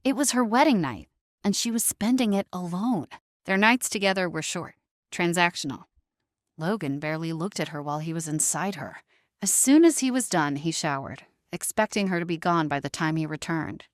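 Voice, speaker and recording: Low-voiced woman narrating drama, dry sound. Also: animated, normal speed, dry recording.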